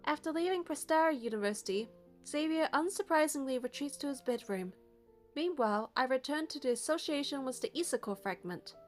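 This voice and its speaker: A woman reading a game's story aloud as narration in an expressive way. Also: sits high, fairly quick. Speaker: player narrating a game playthrough. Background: music.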